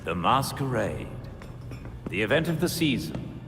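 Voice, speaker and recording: An actor playing a loyal elderly butler in a video game, with a formal, measured, discreet manner. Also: male voice, medium pitch, very animated, long reverb tail, over music. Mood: measured, grave. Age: older. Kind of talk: video game cutscene acting.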